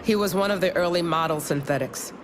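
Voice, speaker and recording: A woman's voice: fairly low pitch, a little fast, music in the background, lightly acted. A woman playing a cool, hard, sardonic synthetic soldier in a video game, with a dry delivery. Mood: cold, bitter. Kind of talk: video game cutscene acting.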